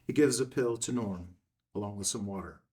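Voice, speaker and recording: A man's voice: lowish voice, moderate pace, mellow, recorded from a distance. A man reading screenplay stage directions as a scripted narrator.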